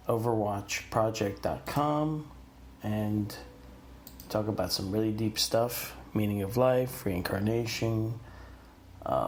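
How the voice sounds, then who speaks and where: Gravelly-voiced male podcast host. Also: fairly low pitch, a little mellow, a little hiss or hum. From northeastern United States. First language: Italian. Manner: rambling, many fillers and restarts. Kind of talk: personal storytelling monologue.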